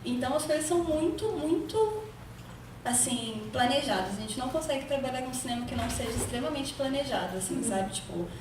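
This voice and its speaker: A high-voiced Brazilian woman from Minas Gerais talks about her filmmaking process, winding through her points with plenty of fillers. She is picked up from well back in a very echoey room. A steady background noise runs under her voice. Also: fairly quick, distant mic. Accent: Brazilian Portuguese, Minas Gerais. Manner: rambling, laughing, fillers like "né" and "sabe". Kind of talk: panel talk about filmmaking.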